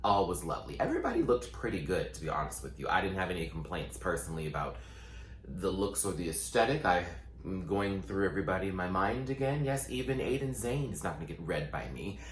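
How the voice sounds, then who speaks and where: A man chatting sassily through a casual recap, his voice still low and groggy from just waking up. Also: animated, roomy sound, a little hiss or hum. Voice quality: voice sitting lower than his normal. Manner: sassy, playful, quick asides. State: just woke up, morning voice. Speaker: vlogger and show recapper.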